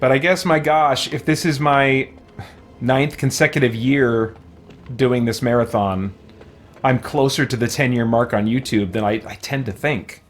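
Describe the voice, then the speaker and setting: A man's voice: mid-range voice, steady tone, a little slow, soft background music. Rambling casual male game streamer. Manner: informal, rambling, thinking aloud. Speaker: game streamer and YouTuber, host. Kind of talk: casual livestream commentary while gaming, reading and answering chat.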